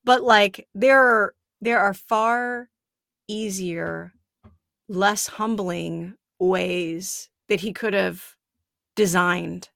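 A woman's voice: medium pitch, very slow, vocal fry, a bit bright, dry recording, studio quality. A woman speaking earnestly and emphatically in a casual faith conversation, building her points with repetition.

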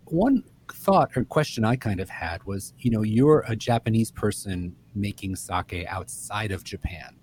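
A man interviewing a guest, asking thoughtful questions in a measured way. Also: lowish voice, expressive, darkish tone, slight background noise.

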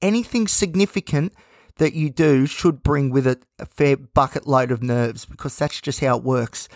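A man hosting his own podcast, talking in a candid, rambling way with many fillers. He sounds a little croaky and nervous, with a dry mouth. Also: medium pitch, normal speed, darkish tone, no echo. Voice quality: slightly croaky. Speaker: podcast host. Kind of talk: casual solo podcast monologue.

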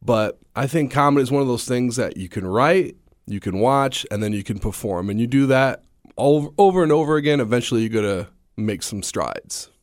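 Man, slightly scratchy voice, chatty, self-deprecating, dry close mic, medium pitch. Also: lively intonation, brisk, dry recording. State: slightly scratchy voice after a long day. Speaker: stand-up comedian and guest. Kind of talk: casual interview chat.

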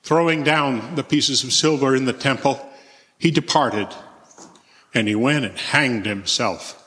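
A man's voice: mid-range voice, measured pace, a bit bright. A man reading a Bible narrative aloud as the narrator at church. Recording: long church reverb.

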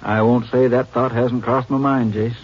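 An actor playing a plain-spoken, drawling small-town sheriff in a 1950s radio drama. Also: male voice, medium pitch, little pitch movement, moderate pace, husky, close to an everyday voice. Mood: musing. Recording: dull old radio recording, hissy.

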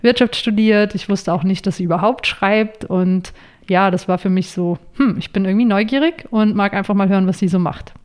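A young woman with a smooth, clear voice of middle pitch talks as a podcast guest. She answers in a friendly way and explains things patiently, at length. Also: brisk, darkish tone. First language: not English. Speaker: podcast guest, online writer. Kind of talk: conversational interview answers.

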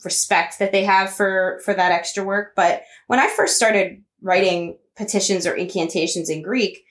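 A woman co-hosting a podcast, answering a listener question in a chatty way. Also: lowish voice. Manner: chatty, with many fillers and restarts. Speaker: podcast co-host. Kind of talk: casual conversation.

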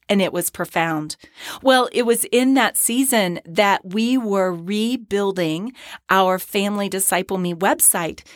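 Hoarse woman hosting a devotional podcast. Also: medium pitch, no echo, studio quality. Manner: earnest and encouraging, with short breathy laughs. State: hoarse, scratchy voice from a cold. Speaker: podcast host and ministry founder. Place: podcast studio.

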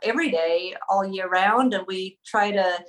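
A woman's voice: medium pitch, a little slow, a bit bright. Hesitant woman, conversational. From Sweden. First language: Swedish. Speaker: podcast guest, author. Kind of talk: conversational personal storytelling.

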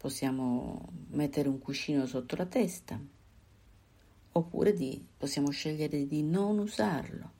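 Slow, low-voiced woman guiding meditation. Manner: calm, unhurried instruction with pauses between short phrases. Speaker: meditation guide. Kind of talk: guided body scan meditation.

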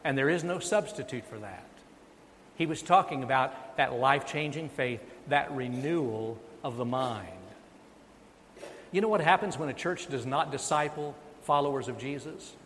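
A man's voice: mid-range voice, normal speed, fairly bright tone, slight background noise. A male pastor preaching a sermon, emphatic and rising in volume on key points. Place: church sanctuary. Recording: long reverb of a church sanctuary.

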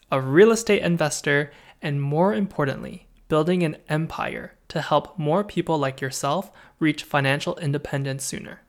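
Upbeat man hosting a podcast. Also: a little high. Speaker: podcast host.

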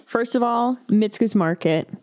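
A woman's voice: a little high, measured pace, darkish tone, no echo. A woman hosting a podcast, chatting casually with friends in a jokey, self-deprecating way. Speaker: podcast host. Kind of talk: casual chat among friends.

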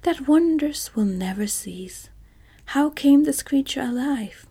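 A woman voicing a dignified, earnest tin man emperor in a soft whisper. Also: sits high, expressive, slow pace, pitched above the performer's own voice, heavily acted.

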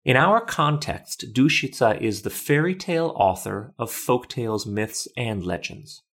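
A man hosting a podcast, reading scripted documentary narration in a measured, literate, explanatory way. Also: medium pitch, animated, fairly bright tone.

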